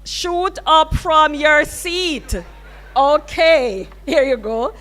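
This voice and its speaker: A woman's voice: high-pitched, expressive, drawn-out words, a bit bright. A woman hosting a university orientation in a lecture hall, upbeat and coaxing the audience over a microphone. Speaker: orientation emcee.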